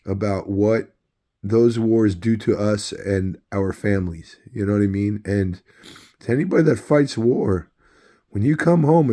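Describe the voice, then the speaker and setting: A man who is losing his voice, telling a long personal story in a rambling, halting way with many fillers. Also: fairly low pitch, warm tone. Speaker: video host. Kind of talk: casual personal storytelling to camera.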